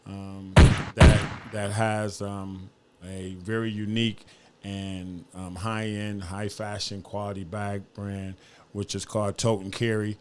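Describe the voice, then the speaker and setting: A man's voice: lowish voice, flat delivery, slow pace, noisy background. A man from upstate New York talking casually as an interview guest, using slang and repeating his phrases. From Buffalo, New York, United States. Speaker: podcast guest, fashion designer.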